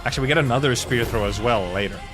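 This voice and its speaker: A man's voice: mid-range voice, rapid-fire. A man streaming a casual game trailer reaction, rambling playfully, repeating himself and swearing casually. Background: faint music.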